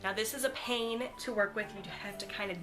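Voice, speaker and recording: A woman's voice: medium pitch, steady tone, a little fast, music in the background. A young woman reviewing nail polishes in a casual, gushing way, with lots of "um"s. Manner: chatty, gushing, frequent "um"s. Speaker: beauty vlogger.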